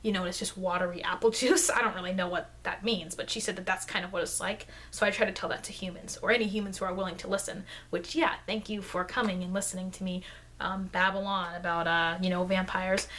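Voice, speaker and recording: A woman playing a friendly, rambling vampire lecturer in an improvised ASMR roleplay, speaking in halting, chatty asides that aim to reassure her human listener. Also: steady tone, fairly bright tone, close to an everyday voice. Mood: friendly, reassuring. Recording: noisy, low-grade microphone.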